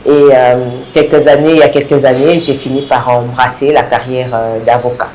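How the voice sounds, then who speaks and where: A woman, hoarse from a cold, answering interview questions haltingly with many fillers and restarts. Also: extremely low pitch, flat delivery, darkish tone, echoey room, a little hiss or hum, rough recording. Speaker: guest, party women's league coordinator.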